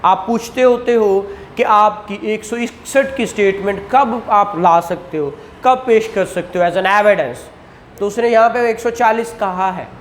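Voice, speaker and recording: A man giving a classroom law lecture, explaining in a conversational tone, reading legal text slowly, and acting out courtroom questions. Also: high-pitched, a little hiss or hum. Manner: explains in Urdu, reads English statute text slowly aloud; acts out courtroom exchanges.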